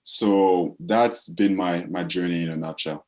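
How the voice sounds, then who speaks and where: A man from the Congo, a purpose coach, talking as a guest in a relaxed interview, thoughtful and often repeating words as he searches for phrasing. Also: low-pitched, a little slow. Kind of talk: casual interview talk.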